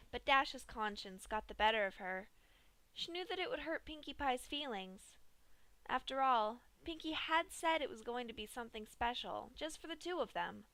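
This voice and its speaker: A woman narrating a fan-fiction story aloud in an expressive reading. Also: fairly high pitch, no echo.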